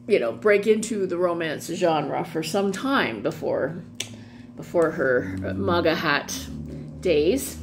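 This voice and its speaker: A middle-aged woman vlogging casually in a wry, amused way, wandering off into asides. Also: fairly low pitch. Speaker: vlog host doing dramatic readings. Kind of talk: casual rambling commentary. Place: small room at home, indoors. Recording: clearly audible background hum noise.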